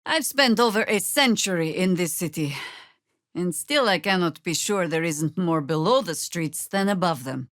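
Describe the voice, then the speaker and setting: An actress playing a weathered older female druid in a video game, wry and commanding, with a dry, deliberate delivery. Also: on the low side, animated, fairly bright tone, no room sound. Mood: grave, reflective. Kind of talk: dramatic game dialogue.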